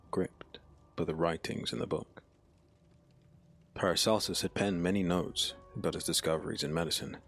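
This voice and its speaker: A man narrating a dark fantasy audiobook in a solemn, measured, dramatic manner. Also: sits low.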